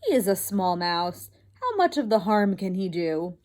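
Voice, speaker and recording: A woman voicing a fussy, theatrical mother mouse with a put-on French accent, dismissive and quick to scold. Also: a little high, big pitch swings, a little mellow. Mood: scornful. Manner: scornful, dismissive, rapid scolding.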